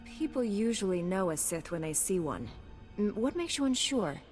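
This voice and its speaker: An actress playing a resolute young female knight in a video game, calm, direct and earnest. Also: mid-range voice, normal speed, in a large hall, soft background music, natural voice. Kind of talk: video game cutscene acting.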